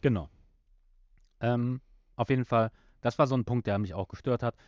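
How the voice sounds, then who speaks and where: A man talking casually as a podcast host, halting and circling back to rephrase his points. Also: mid-range voice, darkish tone, dry recording, muffled recording. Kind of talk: casual discussion of an audio drama episode.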